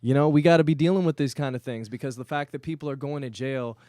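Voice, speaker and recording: A man's voice: medium pitch, fast talker, a little mellow, no room sound, studio quality. Laid-back male podcast host. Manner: laid-back, affirming, says "yep" a lot. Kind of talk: casual chat.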